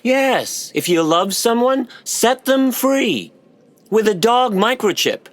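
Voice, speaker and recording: A man's voice: fairly high pitch, very animated, unhurried, fairly bright tone. A man in an audio play playing a proud, stubborn middle-aged father with an emphatic manner. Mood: wry, matter-of-fact.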